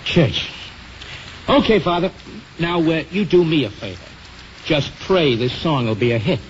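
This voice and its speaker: Man as a blunt, higher-pitched music publisher. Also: measured pace, lightly acted. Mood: baffled, agreeable. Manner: businesslike, blunt. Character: brisk, hard-bargaining male music publisher. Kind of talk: 1950s radio acting. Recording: muffled, hissy 1950s radio recording.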